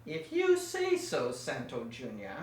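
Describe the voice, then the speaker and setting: A woman's voice: rumbling low, huge pitch swings, slow, darkish tone, recorded from a distance, full character voice. A woman voicing a sly male villain professor who runs a wax museum, suave and theatrical with sudden menace. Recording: roomy echo, voice set back from the mic.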